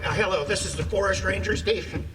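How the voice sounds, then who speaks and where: An actor playing a flustered, folksy forest ranger who stammers and speaks colloquially, in old-time radio drama style. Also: male voice, high voice, fast talker, heavy background noise, lightly acted.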